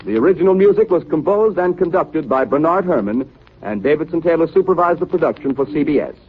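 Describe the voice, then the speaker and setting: A man's voice: on the high side, expressive, quick pace, a little hiss or hum. A man with an American accent making formal, scripted network announcements in the style of 1930s radio. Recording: tinny, hazy 1930s radio broadcast recording.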